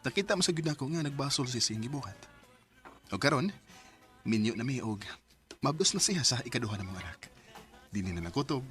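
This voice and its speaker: A man's voice: medium pitch, expressive, fairly quick, pauses often, soft background music, close to an everyday voice. A man acting a hurt, devoted young man in love in an emotional radio drama, earnest and intense. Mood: reflective, sorrowful.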